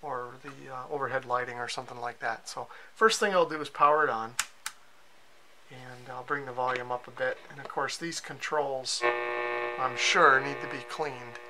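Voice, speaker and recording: A man giving a casual, low-key and hesitant hands-on product walkthrough as a reviewer in a cellar. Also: fairly even intonation, normal speed, background noise. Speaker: radio reviewer. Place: cellar with concrete walls.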